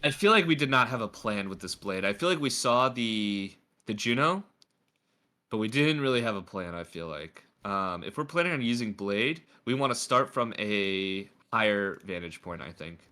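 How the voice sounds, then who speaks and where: A man's voice: fairly low pitch, animated, moderate pace, pushed and bright. A man coaching a player through a game replay in a casual, chatty way, with a slightly stuffy nose. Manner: chatty, lots of filler words. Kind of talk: casual gameplay review.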